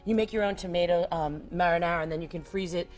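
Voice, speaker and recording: A woman's voice: lowish voice, fast talker, a little mellow. A woman guest cook on a TV morning show, talking casually with lots of ums and easy laughs. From Irish background. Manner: rambling, many ums, laughs easily. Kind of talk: casual cooking demo chat.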